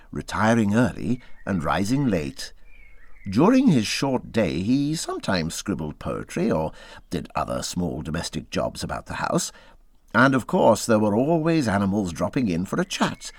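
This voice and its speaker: Male audiobook narrator, lowish voice, highly animated intonation, close dry sound, expressive storybook reading. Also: dry recording.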